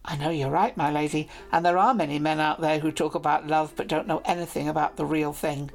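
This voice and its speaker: A woman's voice: deep voice, fairly even intonation, a little fast, darkish tone. Woman playing a shy lovestruck knight. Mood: earnest, pleading. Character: young male knight, brave but lovestruck, shy.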